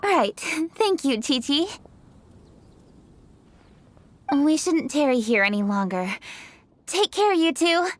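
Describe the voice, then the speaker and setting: A woman's voice: high voice, very animated, a little slow. A woman voicing a dutiful young noblewoman official in a game, poised, polite and businesslike. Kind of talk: game character voice acting.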